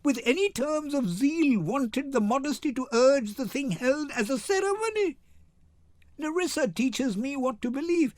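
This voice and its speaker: A man voicing a poised, witty noblewoman wife in measured, theatrical Shakespearean verse, teasing and quick to indignation. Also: extremely high pitch, big pitch swings, darkish tone, no echo, pitched above the performer's own voice, heavily acted. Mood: indignant.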